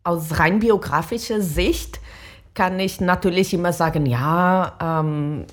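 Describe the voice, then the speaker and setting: Slow, low-voiced Portuguese woman. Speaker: professor of language education, interview guest. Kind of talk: reflective interview answers.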